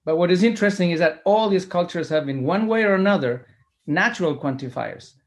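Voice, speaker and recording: A man's voice: sits high, animated, fairly quick. A man answering as a panelist, hesitant and full of fillers.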